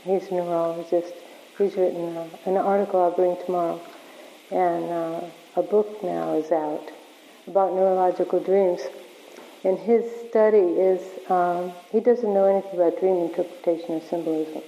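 A woman leads a workshop and answers questions from the floor, her voice low and mellow and starting to go hoarse. She halts and restarts often as she gathers her thoughts. The sound is dull and lo-fi, with a long echo from a large hall. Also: fairly even intonation, moderate pace. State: losing her voice. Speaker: workshop leader.

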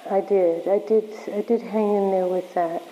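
A woman leading a workshop, answering questions in a halting way with many restarts, her voice wearing thin. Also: mid-range voice, fairly even intonation, mellow, a little hiss or hum. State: losing her voice. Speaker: workshop leader. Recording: echoey hall, dull lo-fi sound.

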